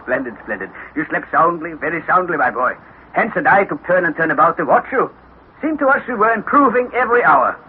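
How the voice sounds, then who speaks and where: An actor playing an older professor uncle, fond and commanding, with emphatic paternal delivery in 1960s radio drama style. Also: male voice, a little high, sing-song, fairly quick. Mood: cheerful. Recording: tinny, noisy vintage radio recording.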